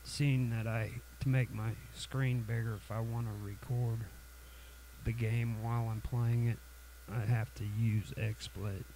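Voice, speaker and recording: A man with a cold hosting a casual software tutorial, halting and full of fillers and restarts. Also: medium pitch, flat delivery, creaky, slight background noise, low-quality recording. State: has a cold, sniffly. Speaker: tutorial channel host.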